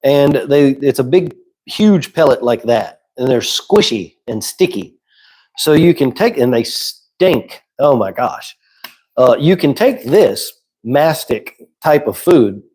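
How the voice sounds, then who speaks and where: A man's voice: mid-range voice, takes it slowly, slightly rough. A man hosting a casual livestream who is losing his voice, chatting easily and answering viewers' questions. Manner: relaxed, conversational, answers chat questions. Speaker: livestream host.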